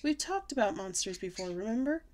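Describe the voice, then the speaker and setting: A woman voicing a patient, weary grandmother who talks in a calm, tired, soothing way. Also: medium pitch, a little fast, lightly acted.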